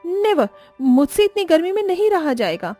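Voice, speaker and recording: Woman acting young rich bride, high-pitched, exasperated, dramatic, English-peppered complaints, cartoon family drama. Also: big pitch swings, moderate pace, mellow, faint music behind. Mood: haughty, irritated. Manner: mixes English exclamations into complaints.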